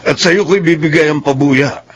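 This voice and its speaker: A man's voice: on the high side, normal speed, a little hiss or hum, lightly acted. An actor playing a domineering, suspicious, hot-tempered middle-aged father in a Filipino radio drama. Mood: suspicious, probing.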